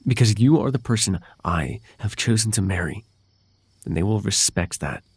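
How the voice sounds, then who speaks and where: Male actor as possessive fae prince, low husky voice, halting tender pleading, formal wording, intimate ASMR roleplay. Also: lively intonation, dry recording. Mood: wistful, devoted. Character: possessive, lovelorn fae royal heir, male, pleading and anxious.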